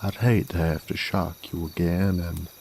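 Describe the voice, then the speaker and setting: Deep, slow-drawling Southern cowboy rogue, acted by a man. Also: level tone, gravelly, darkish tone, a little hiss or hum. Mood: smug, taunting. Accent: American Southern. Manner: drawling, folksy, teasing. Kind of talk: scripted roleplay audio drama.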